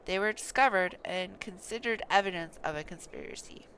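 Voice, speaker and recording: A woman's voice: mid-range voice, fairly bright tone, slight background noise, close to an everyday voice, rough recording. A woman voicing an anguished knight in a fantasy game, confessing a guilty secret earnestly and solemnly. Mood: remorseful, earnest. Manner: earnest, solemn, halting over names. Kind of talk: dramatic reading of fantasy game dialogue.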